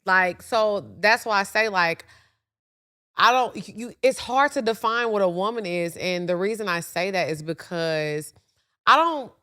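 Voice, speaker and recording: A woman co-hosting a podcast, asking questions and talking in an outspoken, animated, joking way. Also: medium pitch, unhurried. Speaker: podcast co-host. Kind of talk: casual podcast interview.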